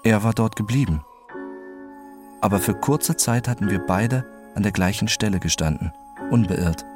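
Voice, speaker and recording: Actor as low-voiced introspective male narrator over music. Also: normal speed, close to an everyday voice. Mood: contemplative. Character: introspective, obsessive male narrator telling his own story. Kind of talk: scripted first-person drama narration.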